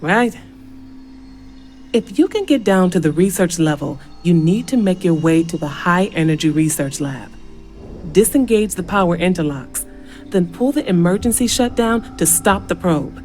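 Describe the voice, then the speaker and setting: An actress playing a focused, brisk scientist in a video game, precise and businesslike, with a careful but impatient manner. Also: female voice, lowish voice, expressive, a little mellow, close to an everyday voice. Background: music.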